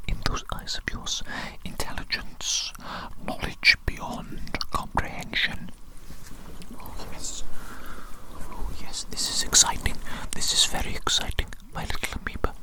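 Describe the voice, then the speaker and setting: A performer whispering an ASMR roleplay as a doting, eerily excited evil doctor, speaking softly and deliberately and repeating phrases soothingly. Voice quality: breathy unvoiced whisper.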